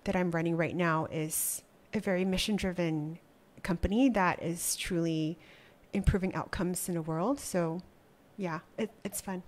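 A woman in her thirties from Malaysia, a startup founder answering interview questions reflectively with many pauses and restarts, speaking into a desk podcast microphone in a small studio. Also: fairly low pitch, fairly even intonation. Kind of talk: conversational interview. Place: small studio with wooden wall.

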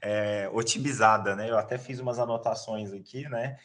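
A man's voice: lowish voice, steady tone, normal speed, fairly bright tone. A man with a Brazilian accent co-presenting a casual webinar discussion on a video call, repeating words and adding tag questions. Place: indoor room on a video call. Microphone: video call microphone.

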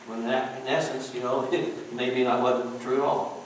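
A middle-aged man preaching to his congregation in a relaxed, chatty way, dropping in asides. His voice rings around a very echoey church, caught from some distance by the mic, with a faint hiss underneath. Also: medium pitch, moderate pace. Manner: folksy, conversational preaching with chuckles and "you know". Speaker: pastor. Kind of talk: sermon. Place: church sanctuary.

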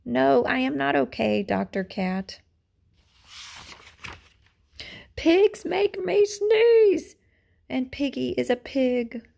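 A woman's voice: high voice, lively intonation, vocal fry, a little mellow, heavily acted. A woman voicing an anxious, dramatic male cartoon-style elephant from a storybook, exclaiming and wailing. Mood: distressed. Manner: exclaiming, wailing, halting sneeze build-ups.

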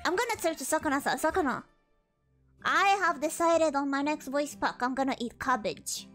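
A woman's voice: high voice, moderate pace, a bit bright, soft background music. A woman livestreamer with a childlike, cutesy voice, chatting casually and playfully with her viewers and prone to squealing.

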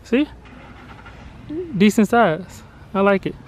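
High-voiced American man vlogging casually. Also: slow pace. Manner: informal, chatty. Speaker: family vlogger. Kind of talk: casual house tour narration. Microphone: handheld vlog camera at arm's length. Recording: handheld camera with clear background noise.

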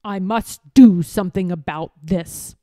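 A woman's voice: on the low side, a little slow, mellow, dry recording, clean studio sound, voice pushed down, natural voice. A woman voicing a scowling, pompous lord from a storybook who despises fun and speaks with disapproval. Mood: disgusted.

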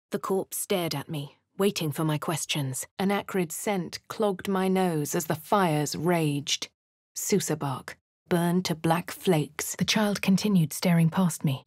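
A posh, wry female adventurer from a fantasy game, with a posh southern English accent. She narrates in a crisp, clipped, slightly low voice with dry asides. The sound is close and dry, with no room around it. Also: no echo. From Baldur's Gate. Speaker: player character. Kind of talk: video game voice lines.

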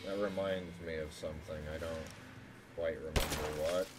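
A man's voice: very deep voice, fairly even intonation, normal speed, background noise. A man doing casual, joking gameplay commentary as a streamer, talking to himself and swearing now and then. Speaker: gaming streamer. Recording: game music behind the voice. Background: loud video game music.

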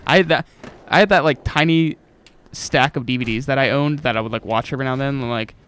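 A man's voice: medium pitch, fast talker, fairly bright tone, clean tone. A young adult man hosting a gaming video, chatting casually over gameplay in slangy, informal language with some swearing.

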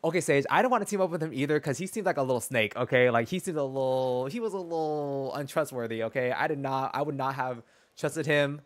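A man, chatting casually as a game streamer in an expressive, sassy, slangy way. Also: medium pitch, fast. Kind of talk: casual chat and reaction to a story game. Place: small dim room indoors.

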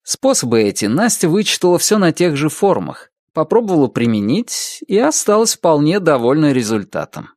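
Expressive male audiobook narrator, dry close sound. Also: normal speed, hardly any pauses, no echo. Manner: lively, expressive reading with varied pitch. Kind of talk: audiobook reading of a detective novel.

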